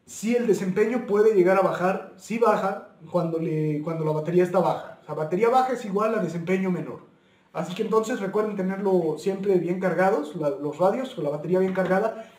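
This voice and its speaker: A man's voice: sits high, darkish tone, reverberant. A man hosting an informal video tutorial, explaining things step by step and repeating and rephrasing his points. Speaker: YouTube channel host.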